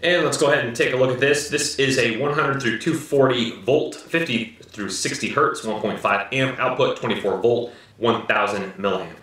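A man in his thirties, slightly hoarse, casually reviewing and unboxing a gadget at home in an upbeat manner. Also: mid-range voice, normal speed, a bit bright. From United States. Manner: upbeat, repeats "very, very cool, guys". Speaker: product reviewer. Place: living room at home. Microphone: camera mic from a short distance. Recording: echoey room, slightly distant mic.

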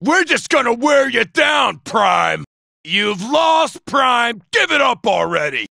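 Gravelly, shouting robot soldier. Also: male voice, extremely high pitch, big pitch swings, slow pace, dry recording, clean studio sound, heavily acted. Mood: taunting. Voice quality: gravelly, forceful. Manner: shouted, taunting, menacing. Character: cocky, aggressive male robot soldier, a taunting rocket sniper. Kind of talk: video game combat barks.

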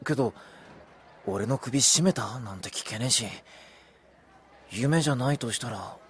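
An actor playing a blunt, easily flustered teenage schoolboy in a Japanese audio drama, colloquial and reactive. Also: male voice, medium pitch, unhurried, lightly acted. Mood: brooding, puzzled. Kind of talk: Japanese audio drama acting with inner monologue.

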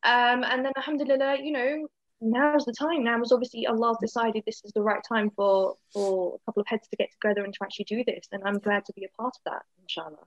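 A woman's voice: a little high, fairly even intonation, quick pace, no room sound. A woman co-hosting a podcast, talking frankly and chattily with lots of fillers and easy laughs. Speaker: podcast co-host. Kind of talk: casual podcast chat. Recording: dull, dry, lo-fi sound.

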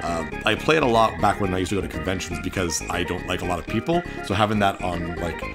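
A man's voice: low voice, fast. A man giving casual, chatty playthrough commentary on a video game in a playful way. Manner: chatty, playful, reads game text aloud in exaggerated tones. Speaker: gaming video host. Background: video game music.

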